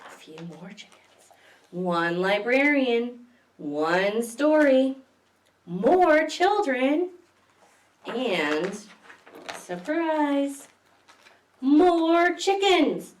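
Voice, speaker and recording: A woman reading picture books aloud to young children as a library story time host, expressively, with questions to her listeners. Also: on the high side. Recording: echoey room, distant microphone.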